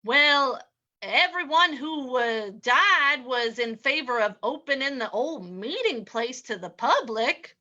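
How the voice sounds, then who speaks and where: A woman's voice: high voice, animated, bright, forceful tone, heavily acted. A woman playing a baffled, hesitant small-town sheriff in a radio drama reading. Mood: baffled, grave. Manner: hesitant, halting. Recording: dull lo-fi sound.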